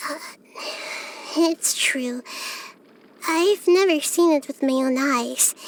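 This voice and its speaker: A woman voicing a wise, gentle, curious child-like goddess in a video game, with a light youthful voice and earnest, thoughtful phrasing. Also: extremely high pitch, exaggerated voice. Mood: wistful. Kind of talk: video game character voice lines.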